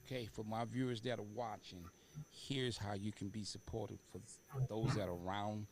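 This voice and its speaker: A man hosting a talk show in a conversational interviewing style, often halting as he searches for words. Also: fairly low pitch, steady tone, a little mellow. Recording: noticeable background room noise.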